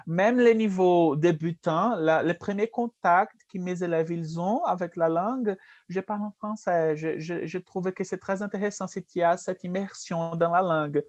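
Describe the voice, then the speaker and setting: A man teaching French in a relaxed conversation, patiently correcting and repeating phrases slowly. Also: high-pitched, muffled recording. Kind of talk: conversational language lesson.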